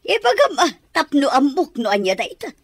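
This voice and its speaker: A woman's voice: high voice, sing-song, normal speed, no echo, clean studio sound. A woman playing an inquisitive, skeptical village matron with an Ilocano accent in a radio comedy.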